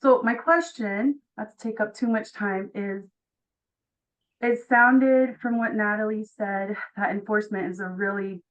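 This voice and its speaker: A woman's voice: mid-range voice, a bit bright. A woman from Tonga asking a question on an online call in an enthusiastic, casual, informal way. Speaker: audience member asking a question. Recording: muffled online call audio.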